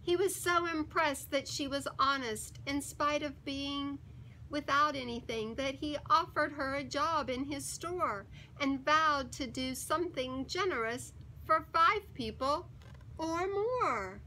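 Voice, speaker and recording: A woman reading a children's picture book aloud in an expressive, deliberate and emphatic storytelling manner. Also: high voice, a little hiss or hum, low-quality recording. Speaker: story time reader.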